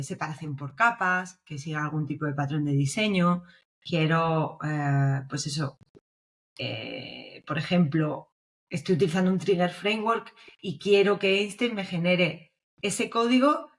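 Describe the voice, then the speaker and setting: A young woman from Spain with a Spanish accent, explaining her views as a guest in a casual podcast interview and often checking in with her listener. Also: fairly low pitch. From Navarre, Spain. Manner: explanatory, checks in with frequent tag questions. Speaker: guest, developer advocate.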